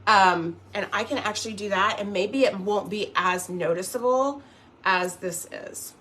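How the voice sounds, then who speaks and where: A woman's voice: medium pitch, measured pace, a bit bright. Chatty woman in her fifties, livestream. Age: 50s. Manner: chatty, rambling, reads viewer comments aloud. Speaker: makeup livestream host. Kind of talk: casual livestream makeup tutorial.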